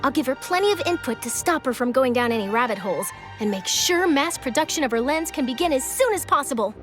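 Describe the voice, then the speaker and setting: A woman's voice: sits high, expressive, fast, soft background music. A woman playing an upbeat, encouraging young female reporter in an anime-style game, speaking in an eager, articulate, reassuring way. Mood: cheerful, confident.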